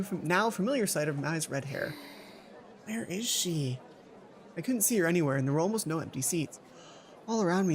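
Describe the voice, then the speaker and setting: A let's play host reading a visual novel aloud with casual commentary, stumbling over words and interrupting the narration. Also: mid-range voice.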